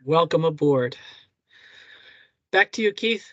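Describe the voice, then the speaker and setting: A man's voice: fairly high pitch, animated, slow pace, sharp tone, no room sound. An older man informally introducing colleagues in an online meeting as a senior official. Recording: dull, lo-fi video call audio.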